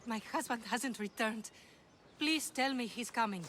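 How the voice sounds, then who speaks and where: A woman's voice: a little high, a little slow, fairly bright tone, soft background music. A woman playing an earnest, troubled townswoman in a video game, with an emotional delivery. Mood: grief-stricken. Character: earnest, troubled Greek townswoman pleading for help. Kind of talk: video game cutscene acting.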